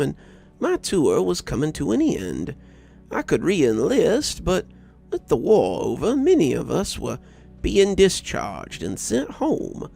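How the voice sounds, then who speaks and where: A man voicing a folksy elderly grandfather, a war veteran telling stories of his youth in an unhurried, gravelly voice. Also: on the high side, darkish tone, exaggerated voice. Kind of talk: first-person storytelling.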